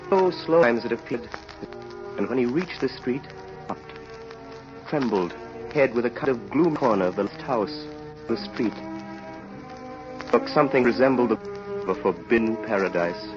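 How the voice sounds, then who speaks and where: An actor playing a grave, impassioned storyteller, narrating a tragedy solemnly and theatrically in an old radio drama. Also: male voice, mid-range voice, expressive, moderate pace, pauses often, music in the background, close to an everyday voice. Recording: muffled old radio recording.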